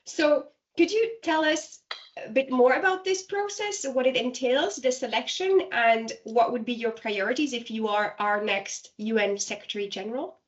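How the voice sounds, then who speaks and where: Enthusiastic higher-voiced woman interviewer. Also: normal speed, muffled recording. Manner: enthusiastic, effusive. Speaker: interviewer host. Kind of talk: casual interview questions.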